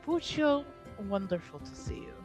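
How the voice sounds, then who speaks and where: A woman's voice: mid-range voice, big pitch swings, moderate pace, over music, lightly acted. A woman playing a composed, polite and commanding noblewoman in a tabletop roleplaying game. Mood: gracious, careful. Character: composed noblewoman giving orders and discreet offers.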